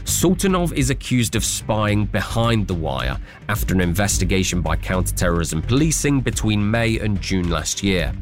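A man narrating a scripted news report as a correspondent. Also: lowish voice. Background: music bed.

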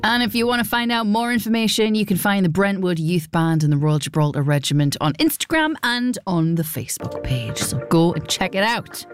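A woman's voice: mid-range voice, a little fast, few pauses, clear, resonant tone. A woman presenting a radio show in a playful, self-mocking way. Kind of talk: broadcast presenting. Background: music bed.

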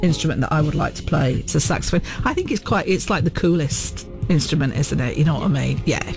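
A man's voice: on the high side, expressive, fast, vocal fry, music in the background. A man interviewing guests in a casual, chatty radio style, with an enthusiastic, encouraging manner.

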